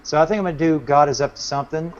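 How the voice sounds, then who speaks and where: Low-key songwriter guy rambling casually, kinda muffled lo-fi audio. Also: rapid-fire, a crowd in the background. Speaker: guest singer-songwriter. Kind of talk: casual chat.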